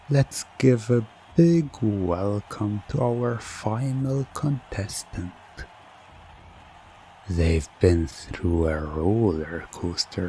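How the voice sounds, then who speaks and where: A man doing hypnosis ASMR roleplay as a teasing, theatrical game show host, softly coaxing the listener into trance. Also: low-pitched, a little hiss or hum. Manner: slow, teasing, theatrical showman; soft, coaxing hypnotic induction.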